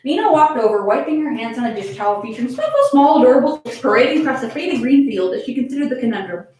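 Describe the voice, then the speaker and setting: A woman with a fairly high, very lively voice reads stories aloud and chats casually in between. She is prone to giggling, and she sounds distant in a very reverberant room. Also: racing. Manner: giggly, breaks into laughter while reading. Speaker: host reading fan fiction aloud. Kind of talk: dramatic reading with casual banter. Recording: very echoey room, distant from mic.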